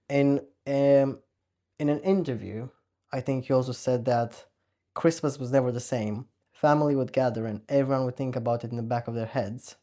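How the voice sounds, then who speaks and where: A man's voice: medium pitch, moderate pace, dark tone. A man from Romania with a Romanian accent, hosting a podcast interview in a conversational style. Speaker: podcast host.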